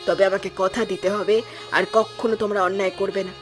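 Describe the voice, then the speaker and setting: A woman's voice: medium pitch, fairly even intonation, fast talker, close to an everyday voice. Firm, moralizing old village woman acted by a woman. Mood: earnest, forgiving. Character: stern, principled old village woman who preaches against greed. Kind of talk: Bengali fairy-tale cartoon voice acting. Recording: music behind the voice. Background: background music.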